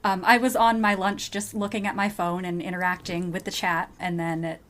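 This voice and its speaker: A woman's voice: on the low side, little pitch movement, fairly quick. A woman speaking as a podcast guest, telling things in a casual, conversational way. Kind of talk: casual chat, recounting.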